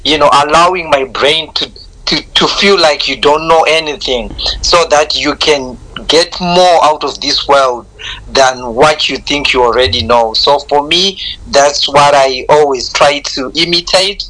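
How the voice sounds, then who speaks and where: A man's voice: a little high, a little slow, bright, forceful tone, low-quality recording. A Zimbabwean man answering interview questions in a reflective, earnest way, often repeating words as he starts a thought. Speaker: guest, content creator.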